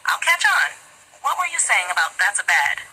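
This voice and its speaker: A woman's voice: mid-range voice, very animated, normal speed, pushed and bright, husky, low-quality recording. A woman's synthetic assistant voice, upbeat and curious, chatting and asking lots of questions. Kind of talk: friendly chatbot conversation.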